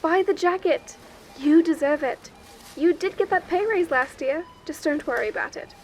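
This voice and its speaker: A woman playing an affectionate, encouraging wife in an audio drama phone call, warm and coaxing, on a noisy recording. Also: sits high, normal speed, natural voice. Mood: fond, encouraging. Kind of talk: audio drama reading of fan fiction.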